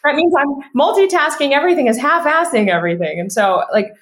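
A woman's voice: high voice, lively intonation, fast talker, fairly bright tone, a little hiss or hum. A woman in her thirties, a podcast guest talking casually and emphatically, with frequent restarts. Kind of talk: casual conversational interview. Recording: echoey room.

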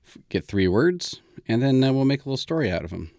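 A man hosting a story podcast, improvising in a casual, informal and halting way as he thinks aloud. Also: medium pitch, big pitch swings, a little mellow, no echo, studio quality.

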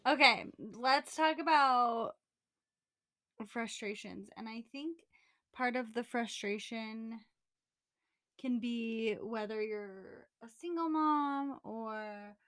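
Bright-voiced young woman chatting candidly. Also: fairly high pitch, takes it slowly. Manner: candid, rambling storyteller with many fillers. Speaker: podcast co-host. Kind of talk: casual chat.

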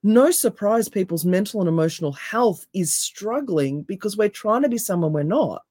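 A woman with a deep, raspy voice, speaking as a coach in an interview in an emphatic, animated way. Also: normal speed, no room sound, clean studio sound. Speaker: NLP coach and hypnotherapist, guest. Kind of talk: casual interview chat.

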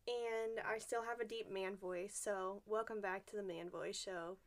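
A woman's voice: medium pitch, fairly even intonation, measured pace. A young adult woman co-hosting a casual podcast while sick, joking and poking fun at herself. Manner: joking, self-mocking. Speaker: podcast co-host. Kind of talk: casual chat.

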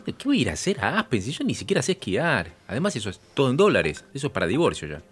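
Exasperated Argentine husband, fast and swooping. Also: male voice, mid-range voice, huge pitch swings, husky, soft background music. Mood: weary, grumbling. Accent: Rioplatense Argentine. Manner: halting, repeats himself. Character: exasperated, defensive husband, weary and stumbling over words. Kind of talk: naturalistic audio drama acting.

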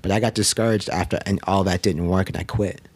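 A man's voice: low voice, steady tone, quick pace, breathy edge. A man, a painter talking reflectively in a casual interview, full of "like" and "um". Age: 30s. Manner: reflective, frequent "like" and "um" fillers. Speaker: painter guest. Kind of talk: casual conversation. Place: indoor studio with mic arms.

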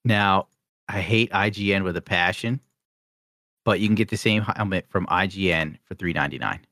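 A man with a lowish voice talks in a wry, matter-of-fact way. He is recorded close and dry. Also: normal speed, bright, forceful tone, dry recording. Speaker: podcast co-host. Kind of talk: casual chat.

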